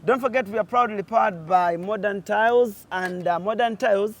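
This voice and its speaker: A Ugandan man hosts a relaxed chat outdoors. He talks casually, filling gaps with "mm" and "uh" as he goes. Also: high voice, moderate pace. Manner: chatty, with frequent "mm" and "uh" fillers. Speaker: host. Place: outdoors in nature.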